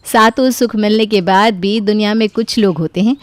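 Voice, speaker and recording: A woman reading scripted narration for an animated family drama as a storyteller. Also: mid-range voice, normal speed, no echo.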